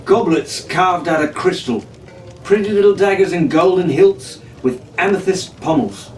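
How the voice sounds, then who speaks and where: Male storybook narrator for a children's adventure, roomy and set back from the mic. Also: fairly high pitch. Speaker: storybook reader. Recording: roomy, distant mic, faint background noise.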